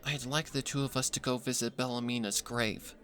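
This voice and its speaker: A man voicing a gentle, earnest young pony mare who speaks softly and sincerely, in a fan fiction story reading. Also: mid-range voice, steady tone, a little fast, lightly acted. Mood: hesitant, hopeful.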